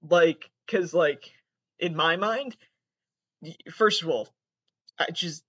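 Male podcast host, North American, notably high voice. Casual, profane, self-mocking solo rambler, recorded dull on a laptop. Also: slow pace, fairly bright tone, slightly rough. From Massachusetts, United States. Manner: self-deprecating, profane, digressive. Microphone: built-in laptop microphone.

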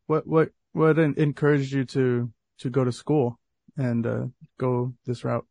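A woman hosting a podcast interview, encouraging her guest with short warm affirmations. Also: extremely low pitch, steady tone, measured pace, dry recording, clean studio sound. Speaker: podcast host. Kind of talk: casual interview conversation.

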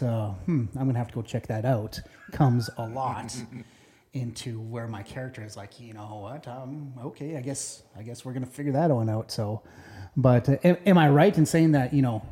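A man's voice: medium pitch, normal speed, dark tone. A man from northern Minnesota in casual unscripted discussion, thinking aloud with a hesitant delivery. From northern Minnesota, Iron Range. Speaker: co-creator and actor of a fictional small-town show.

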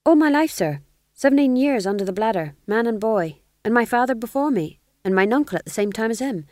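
A woman's voice: on the high side, lively intonation, brisk, darkish tone, no room sound, voice pushed up, lightly acted. A woman voicing a nervous young male court jester who stammers in old-fashioned speech. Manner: jittery, stammering, archaic speech.

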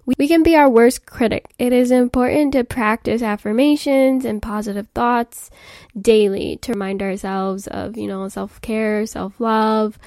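A woman's voice: fairly high pitch, fairly even intonation, a little slow, darkish tone, no room sound, clean studio sound. A woman in her mid-twenties hosting a podcast, chatting informally in youthful, casual phrasing. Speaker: podcast host. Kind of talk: casual rambling podcast monologue on self-care.

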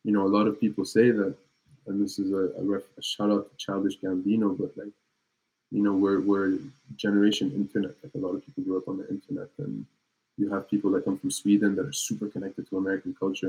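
A man in his early twenties, a native French speaker, chatting as a guest in a relaxed, laid-back way. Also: sits low, fast, husky, poor audio. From Palestine. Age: early 20s. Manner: relaxed, laid-back, slangy, frequent restarts. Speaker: guest musician. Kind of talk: casual conversational interview.

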